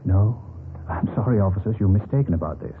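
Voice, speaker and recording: Actor as gentle old man, low voice. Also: animated, fast, close to an everyday voice. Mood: mild, courteous. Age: older. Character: gentle, trusting old German man who loves roses. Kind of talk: 1950s radio drama acting. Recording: tinny, noisy vintage radio recording.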